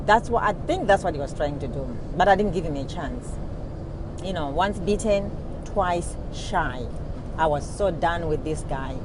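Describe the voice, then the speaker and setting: A middle-aged woman with a Zimbabwean accent, casually telling a personal story to her vlog viewers from a moving car, with lots of "you know" asides. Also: on the low side. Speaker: vlogger. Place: inside a moving car on a highway. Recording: road noise from a moving car.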